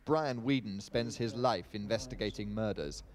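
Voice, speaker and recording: A man's voice: on the low side, moderate pace. A man reading a scripted television news report as a reporter. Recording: archive TV report with street hubbub.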